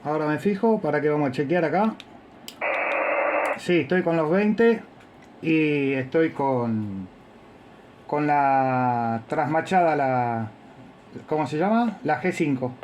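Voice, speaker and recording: A man's voice: a little high, slow pace, long pauses, a little hiss or hum. Argentine man on ham radio. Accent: Argentine Spanish. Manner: relaxed, informal, trails off and restarts while fiddling with gear. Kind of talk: ham radio contact, casual technical chat.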